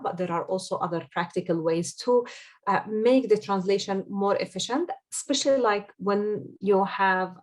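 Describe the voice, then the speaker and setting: A woman from Jordan whose first language is Arabic, explaining things as an interview guest with frequent hesitant pauses. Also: fairly low pitch. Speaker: guest, SEO consultant.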